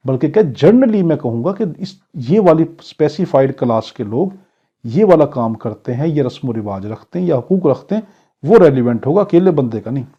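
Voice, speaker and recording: A man's voice: on the high side, expressive, mellow, husky. A Pakistani-accented man giving an exam-prep law lecture, explaining didactically with examples and questions. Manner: reads English clauses slowly, then explains them in Urdu; didactic, poses questions and answers them. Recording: dull, lo-fi lecture recording.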